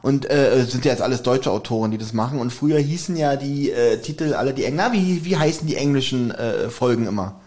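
Ein Mann, der als Co-Host locker und spöttisch in einem Podcast plaudert und dabei oft lacht. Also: male voice, medium pitch, fairly quick, hardly any pauses, darkish tone. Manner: laughs often, wry and teasing. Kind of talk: casual podcast chat.

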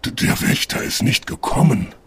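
A man voicing an anxious, needy snow dragon with a gravelly voice in a fairy-tale audio play. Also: normal speed, a little hiss or hum, natural voice.

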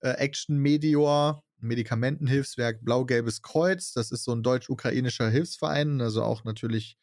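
Podcast host, dry close sound. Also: male voice, mid-range voice, darkish tone, dry recording. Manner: brisk, lists names quickly with small laughs. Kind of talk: casual podcast chat.

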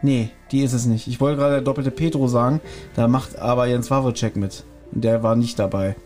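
A man co-hosting a casual podcast, thinking aloud in quick bursts while he guesses. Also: mid-range voice, fairly even intonation, a little mellow, faint music behind. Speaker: podcast co-host guessing episodes.

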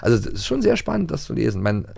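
Gravelly, lively German man chatting. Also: medium pitch, fast talker. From East Germany. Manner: rambling, many hesitations and restarts. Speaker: podcast co-host. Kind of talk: casual chat.

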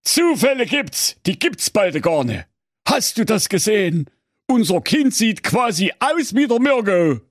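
A dim, blustering Saxon husband, played by a male narrator, pitched up and swinging loudly between squawks and grumbles. The sound is close and dry. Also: big pitch swings, unhurried, no echo, exaggerated voice. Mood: astonished. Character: dim-witted grumbling husband, middle-aged man.

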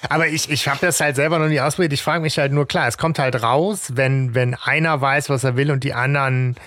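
A man co-hosting a podcast in casual chat, explaining things in a measured way. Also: medium pitch, steady tone, a bit bright, no room sound, studio quality. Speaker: podcast co-host.

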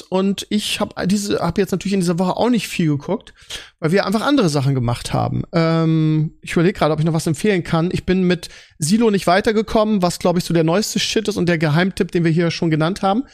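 A man hosting a podcast in casual chat, often repeating words as he forms his thoughts. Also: high voice. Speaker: podcast host. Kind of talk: casual podcast chat about series and films.

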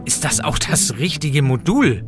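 A man's voice: on the high side, sing-song, measured pace. A man playing a dry, matter-of-fact, level-headed professor in a German sci-fi audio drama. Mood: focused, dry. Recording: music under the dialogue.

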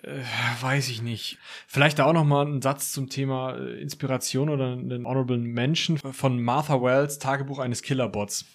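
A man's voice: medium pitch, steady tone, normal speed, few pauses. A man speaking as an author and guest in a casual interview, informal and thinking aloud as he goes.

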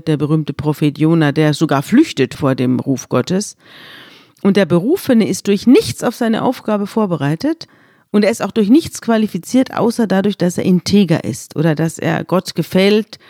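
A woman's voice: medium pitch, mellow, no room sound, studio quality. A woman talking thoughtfully about a Bible story, recounting an anecdote and reflecting on it in a conversational discussion. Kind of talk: conversational religious podcast discussion.

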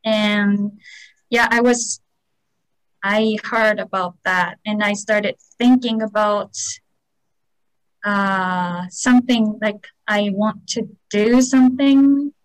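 Slow-paced woman with Japanese accent. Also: medium pitch, steady tone, clear, resonant tone, poor audio. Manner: careful, halting second-language English, polite. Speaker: real estate agent, interview guest. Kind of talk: casual interview answers. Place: on a video call.

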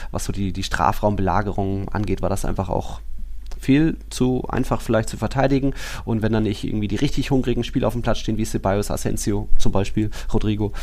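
A man with a fairly low voice talks at a quick clip as a sports podcast host. His delivery is casual, colloquial and full of opinion. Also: a little mellow.

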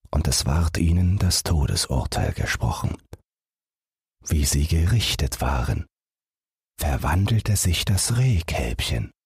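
Male audiobook narrator, scripted bedtime storytelling, very low pitch, slow pace, close dry sound. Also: darkish tone, breathy edge, no echo. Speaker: audiobook narrator and podcast host.

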